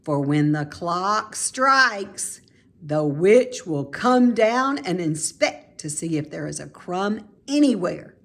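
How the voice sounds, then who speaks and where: Woman voicing a playful, animated storybook lady. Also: lowish voice, slow, gravelly, exaggerated voice. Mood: dramatic make-believe. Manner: animated, emphatic, savouring her words. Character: cheerful, playful grown woman who delights in housework make-believe.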